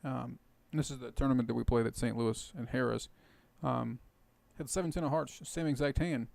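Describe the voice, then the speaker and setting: A man with a tired, hoarse voice and some gravel in it talks through his poker hands. He explains his strategy casually, thinking aloud with plenty of fillers. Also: medium pitch, fairly even intonation, fairly quick, a little mellow, no room sound. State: hoarse, rough voice. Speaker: poker video host. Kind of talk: casual poker commentary over gameplay.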